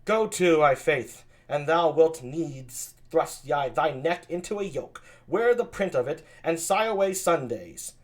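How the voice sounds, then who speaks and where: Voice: medium pitch, animated, a little slow, hardly any pauses, close to an everyday voice. A reader voicing a wry bachelor who mocks love and marriage. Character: mocking bachelor, scornful of love.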